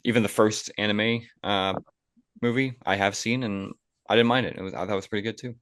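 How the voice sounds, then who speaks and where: A young man with a low-pitched voice hosts a casual podcast. He rambles conversationally and circles back over his phrases. The sound is dry and close. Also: steady tone, a little fast, a bit bright, clean tone, dry recording. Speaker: podcast host.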